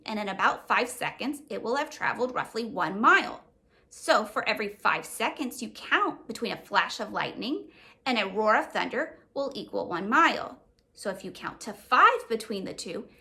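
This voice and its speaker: Woman reading a kids chapter book, fairly high voice, teacherly, explains stuff simply. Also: bright, forceful tone. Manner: clear teacherly explaining, addressing children. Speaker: children's author and read-aloud host. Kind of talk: children's story narration with simple explanations.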